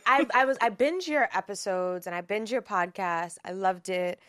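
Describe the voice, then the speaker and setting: A woman's voice: medium pitch, moderate pace, fairly bright tone, no echo, studio quality. Frank young woman podcast host. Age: 20s. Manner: frank, explains the game rules. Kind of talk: casual chat.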